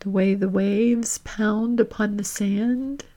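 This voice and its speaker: Slow-paced woman guiding a meditation. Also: medium pitch, steady tone. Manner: gentle, deliberate, with long reflective pauses. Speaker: podcast host leading guided reflections. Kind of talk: guided meditation and reflection.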